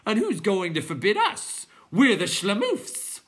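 A narrator voicing a manic butterfly clown creature in a shrill, squawking, sing-song voice, mocking and gleefully defiant, for a storybook. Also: huge pitch swings, unhurried.

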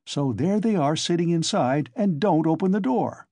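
A man voicing a cheerful, quick-witted young man in an audiobook, ringing and insistent. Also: fairly high pitch, animated, mellow, no room sound, voice pushed up. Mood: urgent, insistent.

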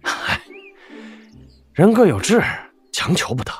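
An actor playing an obese, fawning general with a folksy, self-deprecating manner and a husky voice in a Chinese wuxia audio drama. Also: male voice, high-pitched, big pitch swings, slow, soft background music, exaggerated voice. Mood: rueful. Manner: obsequious, chuckling, sighing, folksy.

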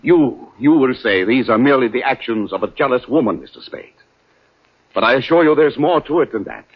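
A formal, agitated doctor in old-time radio drama. His husky voice swings widely as he speaks in halting, overwrought phrases, on a muffled recording. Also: mid-range voice, expressive. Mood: distressed. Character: agitated, formal male doctor, aggrieved husband. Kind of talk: 1940s radio drama acting. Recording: dull 1940s radio recording.

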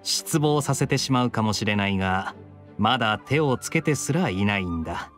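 A man voicing a suave, laid-back young knight captain in an anime game, smooth-talking and unhurried. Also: on the low side, animated, fairly bright tone, faint music behind. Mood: wry, casual.

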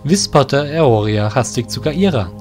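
A man narrating an audio drama in an expressive storytelling way, over music. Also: medium pitch, a little slow. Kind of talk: scripted narration.

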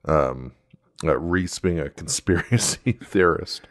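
Deep-voiced man with halting, casual speech. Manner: halting, repeats words as he starts. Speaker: podcast host. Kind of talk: casual chat, TV episode commentary.

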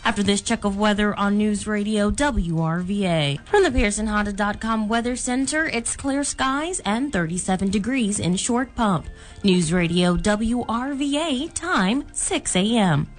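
A woman's voice: mid-range voice, lively intonation, measured pace, faint music behind. An American woman working as a radio news anchor, reading scripted bulletins.

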